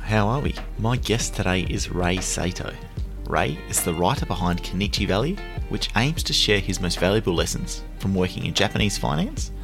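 Guy hosting a podcast, low gravelly voice, guitar music playing under him. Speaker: podcast host. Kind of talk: podcast interview.

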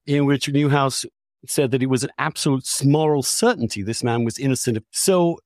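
A man's voice: mid-range voice, brisk, a little mellow, no echo, clean studio sound. A man talking candidly and with strong opinions, articulate and emphatic, often restarting his phrases. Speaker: podcast host.

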